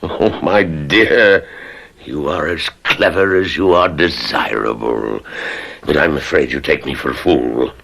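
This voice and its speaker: An actor playing a sly, lecherous moneylender in a radio drama, suave, insinuating and calculating. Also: male voice, a bass voice, measured pace, gravelly, fairly bright tone, a little hiss or hum, heavily acted. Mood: smug, wooing.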